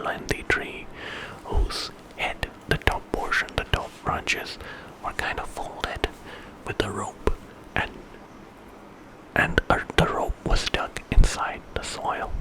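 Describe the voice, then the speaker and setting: A man's voice: fairly high pitch, rough recording. A man whispers an ASMR story in an unscripted, conversational way, with dramatic detail.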